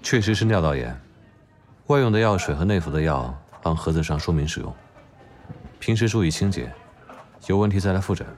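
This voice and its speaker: A man's voice: deep voice, expressive, brisk, long pauses, slight background noise. A man acting in an audio drama as a cool, blunt doctor who speaks in a curt, stern, matter-of-fact way. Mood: cool, clinical. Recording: music behind.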